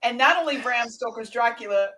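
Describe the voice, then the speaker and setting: A woman's voice: a little high, fairly quick, pushed and bright, low-quality recording. A woman hosting a casual group show in a giggly, playful way, introducing and bantering. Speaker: host introducing the cast of an amateur table read.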